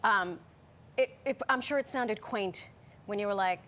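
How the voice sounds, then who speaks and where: A woman hosting a lively morning-show interview, playful and bantering, dropping in Spanish phrases. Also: medium pitch, moderate pace, slight background noise. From Puerto Rican background. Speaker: TV show host. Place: TV studio.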